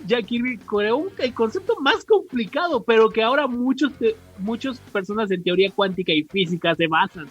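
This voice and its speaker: A man's voice: high-pitched, lively intonation, normal speed, hardly any pauses, fairly bright tone, slightly rough. A man with a Mexican accent co-hosting a podcast, explaining things in casual chat. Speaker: podcast co-host. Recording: dull lo-fi sound, music behind.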